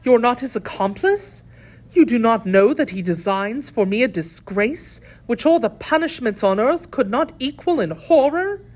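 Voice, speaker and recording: A woman's voice: a little high, lively intonation, normal speed, a little mellow, voice pushed up. A woman playing a captive noblewoman who implores with feigned pious despair in a dramatic audiobook reading. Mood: shocked. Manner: melodramatic, imploring.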